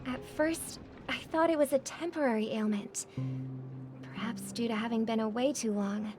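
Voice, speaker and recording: An actress playing a kind, graceful young singer in a video game, speaking politely and with composed sincerity. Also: female voice, a little high, normal speed, lightly acted. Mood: apologetic, grave. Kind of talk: video game cutscene acting. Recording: loud music under the voice.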